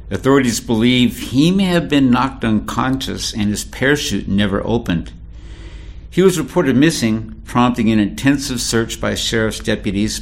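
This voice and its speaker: Man, radio news reporter, measured sober read, mid-pitched voice.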